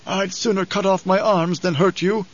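A man voicing a loyal old advisor in an audiobook, grave and deferential, with a measured, formal, hesitant delivery. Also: sits high, fairly even intonation, husky, lo-fi sound, pitched above the performer's own voice. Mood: regretful, insistent. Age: older.

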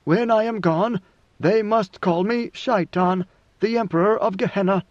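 A man voicing a grave, ancient god-emperor in a storybook reading, with slow, solemn, oracular pronouncements. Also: sits high, no echo, highs cut off, voice pushed up. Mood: solemn, prophetic.

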